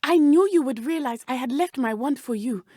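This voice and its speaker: A woman with a southern African accent playing an earnest, brave teenage witch in a video game, speaking in measured, formal, precise diction. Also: sits high, animated, dark tone, no echo, studio quality. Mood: urgent, lively. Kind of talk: video game character dialogue.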